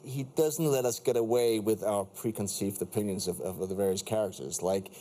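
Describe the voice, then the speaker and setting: A man's voice: fairly low pitch, warm tone. A man whose first language is Danish, an actor guest on a talk show, chatting casually with frequent restarts. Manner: rambling, halting restarts. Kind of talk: casual chat.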